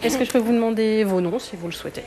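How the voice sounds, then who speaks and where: A woman's voice: fairly high pitch, rapid-fire, warm tone. A woman reporter asking polite, informal questions and narrating a report. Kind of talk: interview questions and voice-over narration.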